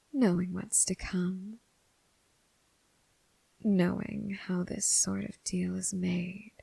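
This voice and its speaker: A woman playing a seductive, menacing crossroads demon who toys with her prey, in a breathy, whispered ASMR roleplay with slow, teasing, formal phrasing. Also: on the low side, no room sound, exaggerated voice, poor audio. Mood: teasing menace.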